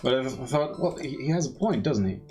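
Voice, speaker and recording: A man's voice: mid-range voice, animated, quick pace. A man giving casual, explanatory live commentary as he plays through a game. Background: game music.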